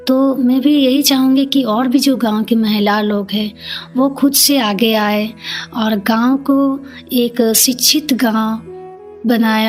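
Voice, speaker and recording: A middle-aged woman, speaking earnestly and plainly as she tells her own story in an interview. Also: fairly high pitch. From Jharkhand, India. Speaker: interview guest, community leader.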